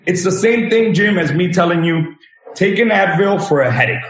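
A man's voice: sits high, animated, normal speed. A man in his thirties, from Venezuela, earnestly explaining his health practice as a guest. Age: 30s. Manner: explanatory, earnest, leans on examples. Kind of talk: interview answers. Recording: roomy echo.

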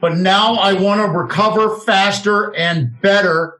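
A man's voice: sits high, steady tone, a little slow, bright, forceful tone. A man in his fifties hosting a talk radio show in a direct, self-assured, salesy way. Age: 50s. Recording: roomy, echoey sound.

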